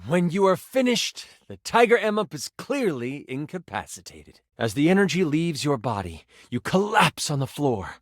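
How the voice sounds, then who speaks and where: A man's voice: fairly high pitch, very animated, normal speed, few pauses, dry recording, studio quality. A man playing a game master character, narrating a fantasy tabletop adventure theatrically, with conversational asides. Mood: dramatic. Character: animated personified twenty-sided die running a fantasy game as game master.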